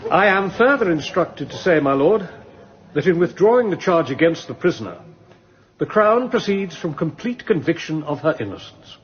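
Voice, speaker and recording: Radio courtroom: formal English prosecutor, high voice, stiffly deferential, slightly muffled recording. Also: male voice, sing-song, normal speed. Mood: formal, grave. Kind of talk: radio drama acting.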